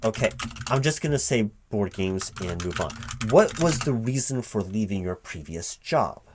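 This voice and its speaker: A man playing a recruiting interviewer in a one-sided spoken ASMR roleplay, halting and repeating his words in a baffled, exasperated way. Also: fairly low pitch, expressive, background noise. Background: computer keyboard typing.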